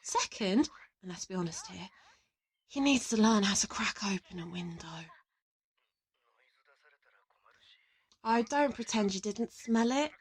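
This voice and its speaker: A woman's voice: mid-range voice, lively intonation, moderate pace, husky. A woman playing a confident, teasing interrogator from an assassin team in an audio roleplay, affectionate and boastful. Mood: exasperated, gossipy. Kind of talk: audio roleplay addressed to the listener.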